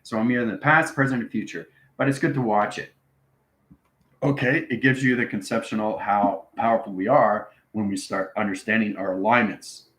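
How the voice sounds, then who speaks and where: A man's voice: fairly low pitch, steady tone, normal speed, a bit bright, echoey room, poor audio. A man hosting a live stream, giving a rambling spiritual explanation in an instructional way, often checking in with short tags. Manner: instructional, frequent check-in tags like okay and right. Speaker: host answering live viewers.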